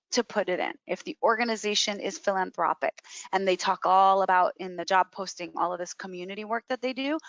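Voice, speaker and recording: Female career coach, emphatic explainer, mid-pitched, on muffled webinar audio. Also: fairly bright tone, no echo. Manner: emphatic, repeats key words for stress. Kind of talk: explanatory webinar advice with question and answer.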